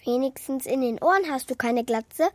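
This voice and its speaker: A woman's voice: high-pitched, moderate pace, no room sound, lightly acted. A woman voicing a cheeky, naive little wooden puppet boy in a children's radio play, blunt, curious and childlike.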